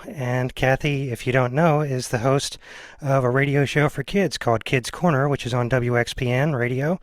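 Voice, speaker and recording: A man's voice: medium pitch, no echo. An American man around forty hosting a casual interview, speaking informally and a bit hesitantly. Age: 30s or 40s. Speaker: podcast host, film teacher.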